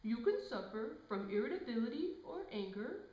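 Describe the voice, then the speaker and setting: A woman's voice: on the high side, sing-song, normal speed. A woman presenting scripted educational health narration. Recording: dull echoey room sound.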